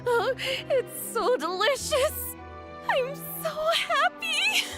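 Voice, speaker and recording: A woman playing an excitable, gushing female film director in an anime-style video game, dramatic and exclamatory. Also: very high voice, slow pace, music in the background, full character voice. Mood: tearful joy.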